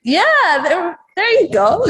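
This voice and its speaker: A woman's voice: extremely high pitch, fairly bright tone. A woman casually presenting slides at a chapel service, rambling with frequent fillers and restarts. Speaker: campus ministry speaker.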